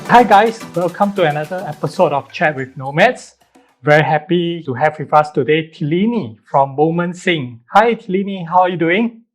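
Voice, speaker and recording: A man's voice: fairly high pitch, animated, moderate pace, faint music behind. A man hosting a podcast, upbeat and chatty, asking long, rambling questions. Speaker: podcast host. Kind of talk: casual interview chat.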